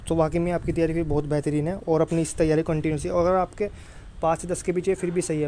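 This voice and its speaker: A man's voice: a little high, a little mellow. Male exam tutor, informal and familiar, very level intonation, slightly noisy recording. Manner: repeatedly checks in with listeners in a familiar, brotherly way. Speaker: exam prep teacher. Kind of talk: informal exam coaching talk. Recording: clear background noise.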